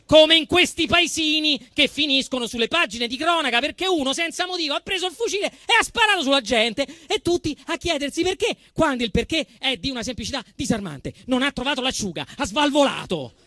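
Male, Roman accent, stage satirist. Bright, forceful voice, big dynamic swings from deadpan to indignant, dry sound. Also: extremely high pitch, fairly quick, hardly any pauses, no echo. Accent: Roman Italian. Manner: emphatic, swinging from hushed deadpan to shouted outrage. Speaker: actor performing a comic monologue. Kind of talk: theatrical satirical monologue to a live audience.

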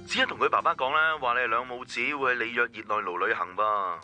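A chatty woman friend on the phone in a radio drama. The voice is strikingly bright and sharp, with faint music underneath. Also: very deep voice, lively intonation, fast, dull audio, heavily acted. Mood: friendly, teasing.

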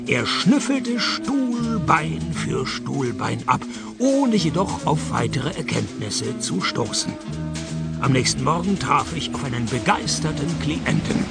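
A man playing a cynical, deadpan private detective and narrator in a radio play. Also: a little high, slow, vocal fry, loud music behind the voice, heavily acted. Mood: laconic narration. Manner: deadpan, sardonic.

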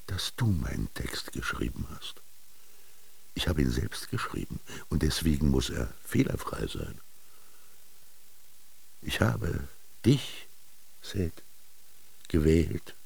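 An actor in a German radio drama playing a commanding, brooding male master who declaims bitter words haltingly, with whispered emphasis, in a gravelly, husky voice. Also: a bass voice, full character voice. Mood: stern, threatening.

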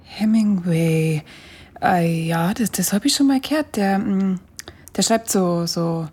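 A woman's voice: on the low side, takes it slowly, a little mellow, breathy edge. An actress playing a curious, chatty young woman photographer in a radio play, with a Bavarian accent and hesitant, colloquial speech. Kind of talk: naturalistic radio play dialogue.

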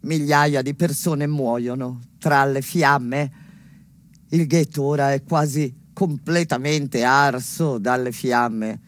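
An actress playing a grave, impassioned survivor in a dramatic stage narration, speaking solemnly and deliberately with weighty pauses. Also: female voice, a bass voice, steady tone, no room sound. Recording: loud plucked-string music behind. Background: loud music with plucked strings, guitar.

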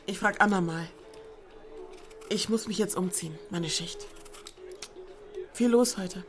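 A woman's voice: medium pitch, unhurried, close to an everyday voice. A woman playing a practical, worried young waitress in a radio drama, speaking in a natural, direct way. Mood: matter-of-fact. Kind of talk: German radio drama acting.

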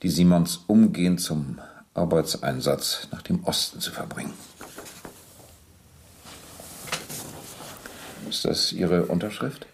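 A man's voice: sits low, moderate pace, vocal fry. A male actor in a German radio play, playing a persistent, controlled police captain who interrogates with precise, probing questions. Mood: formal, factual.

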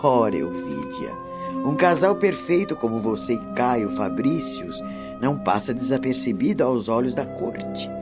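A woman plays a prim old Roman grandmother in a radio drama. She preaches solemnly with wide pitch movement, over music and dull sound. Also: huge pitch swings, a little slow, heavily acted. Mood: solemn advice. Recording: dull lo-fi audio, music behind.

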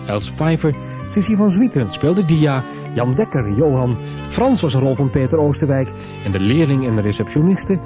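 A man reading scripted closing credits as a radio announcer. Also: a little high. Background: prominent music.

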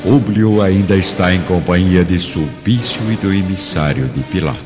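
A man's voice: low-pitched, normal speed. A Brazilian man narrating a radio drama in a dramatic scripted style. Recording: muffled, long reverb, loud music behind. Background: music.

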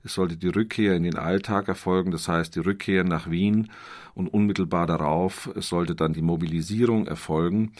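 A man speaks in a low voice, in the measured style of a scholar. His phrasing is formal and precise as he explains. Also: fairly even intonation, darkish tone. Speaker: literary scholar.

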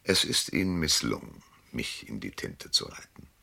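An actor playing a cynical private detective in a German radio crime drama, narrating and talking in a dry, laconic, sardonic way. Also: male voice, rumbling low, big pitch swings, moderate pace, creaky, sharp tone, breathy edge, dry recording, exaggerated voice. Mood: cool, menacing. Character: hardboiled, cynical male private detective, narrator of his case.

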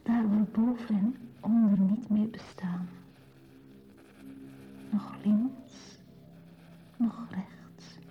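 A woman's voice: medium pitch, steady tone, drawn-out words, long pauses, a little hiss or hum. An actress playing a grave, dreamy woman in a poetic radio play, speaking solemnly and lyrically in a dull lo-fi recording. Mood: dreamy, hushed.

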